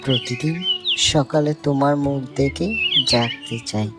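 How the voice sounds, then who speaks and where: A woman's voice: extremely low pitch, unhurried, voice pushed down. A woman voicing a devoted, generous male songbird, a husband and father, speaking tenderly and earnestly in a sentimental cartoon. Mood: tender, romantic. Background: music and birdsong.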